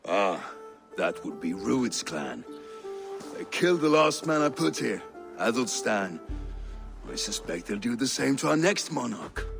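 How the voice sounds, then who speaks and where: An actor playing a world-weary, cynical Danish steward with a sardonic drawl in a video game scene. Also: male voice, mid-range voice, very animated, a little slow, gravelly, music in the background. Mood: resigned. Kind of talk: dramatic video game acting.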